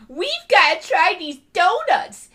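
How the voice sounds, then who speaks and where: A woman's voice: very high-pitched, very animated, slow pace, pushed and bright, heavily acted. A woman voicing a bubbly, quirky female unicorn pony in a fan comic dub, giddy and playful. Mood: excited.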